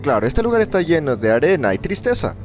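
Dude playing a jumpy young corporate guy, bouncy lively voice, soft music under it. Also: a little high, fast talker. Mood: chatty. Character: nervous young male corporate climber, cocky but jumpy. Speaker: fan dubber. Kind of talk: fan dub of a game.

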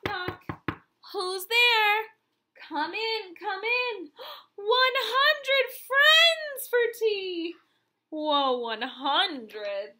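A woman in her thirties reading a picture book aloud to young children as a counting lesson, in an animated, wondering manner full of questions. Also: very high voice.

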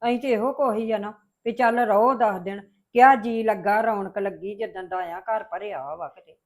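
A woman's voice: mid-range voice, moderate pace, close to an everyday voice. A woman acting a worried village mother who scolds her daughter-in-law and pleads with her son. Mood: pleading. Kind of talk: family drama acting.

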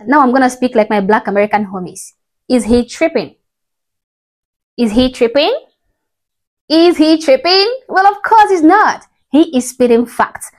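A woman's voice: high-pitched, a little slow, long pauses, faint music behind. A young woman giving an emphatic, preachy opinion commentary to camera from her bedroom, often tagging her points with "okay?", on a lapel mic. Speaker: vlogger. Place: bedroom indoors.

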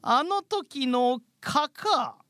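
A man's voice: extremely high pitch, expressive, extremely slow, sharp tone, dry recording, studio quality, full character voice. A man voicing a theatrical, human-sized, chatty spider monster in a radio comedy drama. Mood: knowing, chatty.

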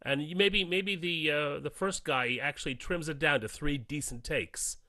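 A man's voice: a little high, moderate pace, sharp tone. A man who works as a voice actor, talking casually in a podcast discussion. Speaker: voice actor, interview guest.

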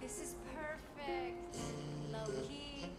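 An actress playing a warm, caring middle-aged mother in a video game, speaking affectionately. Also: sits high, drawn-out words, music in the background. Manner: affectionate, motherly, slips into Spanish endearments. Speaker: game character.

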